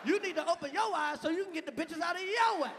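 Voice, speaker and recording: High, gravelly man shouting stand-up. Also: fast. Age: 30s. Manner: loud, shouting punchlines, chanting lyrics. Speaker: stand-up comedian. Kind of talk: stand-up comedy set. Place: large venue on stage. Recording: live hall with loud crowd and noise.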